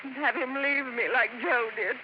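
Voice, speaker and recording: An actress playing a clinging, possessive middle-aged sister with a pleading, melodramatic manner, in 1950s radio acting. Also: female voice, high voice, moderate pace, muffled recording, natural voice. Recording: hissy old radio broadcast.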